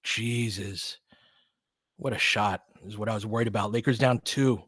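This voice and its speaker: A man giving informal live basketball play-by-play as a partisan fan, speaking in terse, clipped phrases and getting excitable on big plays. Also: fairly low pitch, moderate pace, husky, dry recording.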